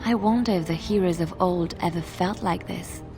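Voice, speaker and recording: Idealistic fantasy game heroine, female actor: lowish, heartfelt, poetic, scored with music. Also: normal speed, lightly acted. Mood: tender, resolute. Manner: lyrical, heartfelt. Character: devout, tender female adventurer, devoted and idealistic. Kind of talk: video game voice acting. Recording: music behind the voice.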